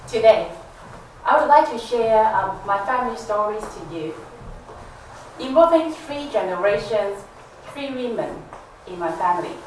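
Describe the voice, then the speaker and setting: A woman from Macau, telling family stories slowly and gently in a live performance. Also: on the high side, lively intonation, a little hiss or hum. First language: Cantonese. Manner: slow, gentle, reflective storytelling. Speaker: artist and storyteller. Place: venue hall with an audience. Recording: echoey hall from far off with music. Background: instrumental music.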